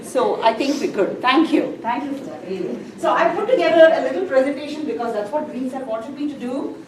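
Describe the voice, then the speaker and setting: Lively woman presenting, chatty and animated pitch, echoey room, mic kinda far, noisy. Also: brisk. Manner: animated, chatty, self-deprecating. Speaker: guest speaker on composting. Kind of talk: informal presentation. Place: library event room.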